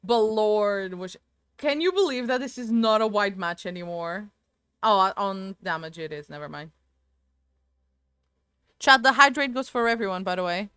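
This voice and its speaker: A woman's voice: mid-range voice, animated, normal speed, pauses often, sharp tone, clean tone, no echo. A woman streaming a game from home into a desk microphone, chatting with viewers in a rambling, talkative way. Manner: chatty, rambling, answers chat aloud. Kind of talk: casual gaming stream chat.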